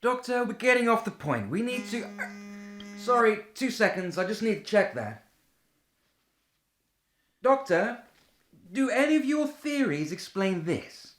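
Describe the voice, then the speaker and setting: Casting: impatient female official, challenging and businesslike, very varied pitch, unhurried pace, audio drama. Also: sing-song. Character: impatient, exasperated official questioning a scientist. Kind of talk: radio play acting.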